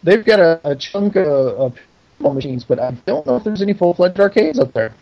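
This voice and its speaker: A man's voice: high-pitched, animated, a little fast, dull audio. A middle-aged American man chatting as an interview guest, plain-spoken and folksy. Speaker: podcast guest, pinball maker. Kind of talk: casual interview chat.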